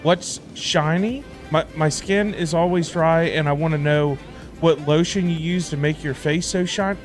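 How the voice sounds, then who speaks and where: Slightly high-voiced man rambling on a livestream. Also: normal speed, faint music behind. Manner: rambling, repeats himself, stop-start phrasing. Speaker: livestream host. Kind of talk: casual livestream chat, reading and answering viewer messages.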